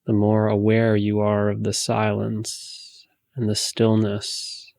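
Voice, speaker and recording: Slow, gravelly man guiding meditation. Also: on the low side, monotone, no echo. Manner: soothing, hushed, unhurried instruction with long pauses. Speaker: meditation guide. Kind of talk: guided meditation.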